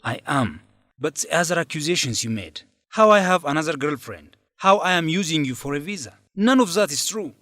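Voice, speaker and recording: A man's voice: on the high side, lively intonation, brisk, a bit bright, dry recording. An actor playing a controlling, scheming young male student leader in an educational radio drama, defensive and insistent in manner. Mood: defensive, pleading. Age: young adult.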